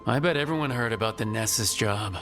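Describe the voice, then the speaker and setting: An actor playing an earnest, direct resistance hero in a fantasy video game. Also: male voice, on the low side, fairly quick, fairly bright tone, faint music behind, lightly acted. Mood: earnest, resolute.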